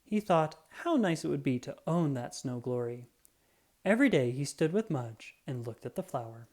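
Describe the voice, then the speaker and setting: Animated children's storybook narrator. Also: mid-range voice. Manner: expressive, deliberate storytelling. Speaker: storybook reader. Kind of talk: children's read-aloud narration.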